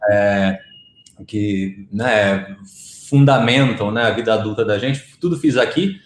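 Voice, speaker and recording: A man in his thirties from Rio de Janeiro with a faint Rio accent, answering interview questions in a relaxed, chatty way. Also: lowish voice, slow pace, reverberant. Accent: faint Rio de Janeiro Portuguese. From Rio de Janeiro, Brazil. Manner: relaxed, chatty, frequent "né" fillers. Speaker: guest, marketing executive.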